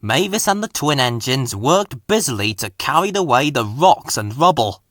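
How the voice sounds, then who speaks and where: Male children's story narrator, expressive and dramatic, forceful bright tone with big pitch movement. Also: normal speed, no echo, clean studio sound. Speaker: storybook reader.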